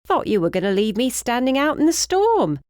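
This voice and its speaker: A woman's voice: on the high side, sing-song, fairly quick, a little mellow, no echo, clean studio sound, pitched above the performer's own voice. A woman voicing a short, plump, cheerful older housekeeper with a British accent and a rambling, effusive, fussy manner in an audiobook. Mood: cheerful, welcoming.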